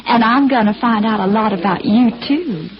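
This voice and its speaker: A woman's voice: a little high, normal speed, lightly acted. An actress playing a young female detective's assistant, coy and theatrical in a 1940s radio style. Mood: coy gushing. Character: detective's sassy girl assistant, posing as gushing naive heiress. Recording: dull vintage radio recording.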